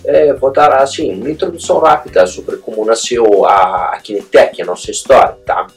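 A man from Italy with an Italian accent, hosting a live webinar in a casual, halting way with frequent fillers. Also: medium pitch, soft background music. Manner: frequent tag questions, hesitant fillers. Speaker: host.

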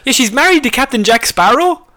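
A woman's voice: high voice, expressive, a little fast, fairly bright tone. An Irish woman co-hosting a comedy podcast, heckling in a blunt, sweary, incredulous way. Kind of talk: casual comic banter.

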